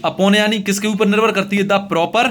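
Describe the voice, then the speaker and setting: A man's voice: sits high, fairly even intonation, a bit bright. A man with a north-central Indian accent teaching a lesson, repeating phrases and asking questions that he answers himself. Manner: repetitive, asks questions and answers them himself; slow, deliberate reading of English phrases, translated piece by piece. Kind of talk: classroom English lesson explained in Hindi.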